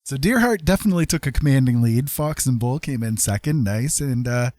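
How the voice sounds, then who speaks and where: A man's voice: medium pitch, lively intonation, brisk, a little mellow, no room sound. A man hosting a casual livestream, commenting on a game in an encouraging, jokey manner. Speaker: livestream host.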